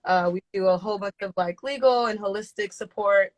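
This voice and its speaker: A woman's voice: medium pitch, a little fast. A woman hosting a casual podcast interview over a video call, friendly and loose with ums and uhs. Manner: friendly, relaxed, fillers like um and uh. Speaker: podcast host.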